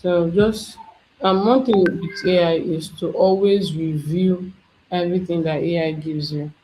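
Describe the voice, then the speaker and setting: An instructor talking through a software tutorial step by step, thinking aloud as they work. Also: mid-range voice, steady tone, unhurried, a little hiss or hum, rough recording. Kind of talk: screen-share software tutorial.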